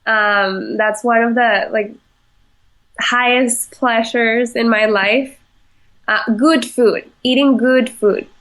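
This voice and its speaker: Slow, soft-spoken woman. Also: fairly high pitch, fairly even intonation. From Venezuela. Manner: halting, many fillers and restarts, laughs easily. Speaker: chef, podcast guest. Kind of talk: casual interview answers.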